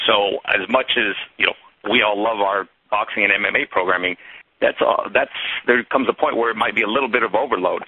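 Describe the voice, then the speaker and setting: Lowish husky man on phone line. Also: brisk, dry recording. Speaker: television sports executive. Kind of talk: corporate press answers. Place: conference call over phone. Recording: dry, narrow telephone line.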